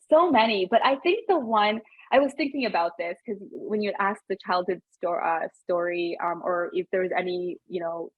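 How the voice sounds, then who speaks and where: Chatty thirties woman guest, husky, dull dry recording. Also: fairly low pitch, no echo. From Sri Lanka, raised in Canada. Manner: chatty, lots of "like" and restarts, laughs easily. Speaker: podcast guest, business founder. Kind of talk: casual interview chat.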